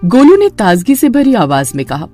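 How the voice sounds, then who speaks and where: A woman's voice: medium pitch, huge pitch swings, normal speed, mellow, soft background music. A woman narrator reading a scripted horror story in a dramatic storytelling manner.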